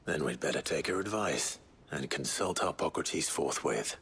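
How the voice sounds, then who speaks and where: An actor playing a resolute hero in a fantasy video game, speaking in a serious, terse, direct way. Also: male voice, extremely low pitch, animated, normal speed, creaky, a bit bright, breathy edge, heavily acted. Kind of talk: dramatic video game acting.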